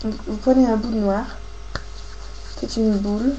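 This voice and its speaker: Woman, craft tutorial host, higher voice, congested with a cold, informal and halting, noisy homemade recording. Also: steady tone, dark tone, lo-fi sound. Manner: informal, halting, thinking aloud. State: has a cold, coughing. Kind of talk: casual hands-on tutorial, talking while working.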